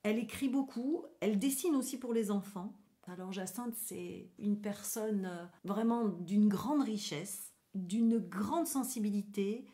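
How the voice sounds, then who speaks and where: Mellow-voiced woman guest, effusive. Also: mid-range voice, measured pace. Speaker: guest, graphic artist presenting a gallery event. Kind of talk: spoken radio interview, explanatory.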